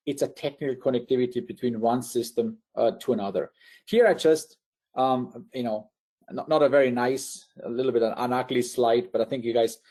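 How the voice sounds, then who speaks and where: A man's voice: medium pitch, dark tone. A man from Liechtenstein with a Swiss German accent, presenting a technical webinar in a conversational way with frequent fillers and restarts.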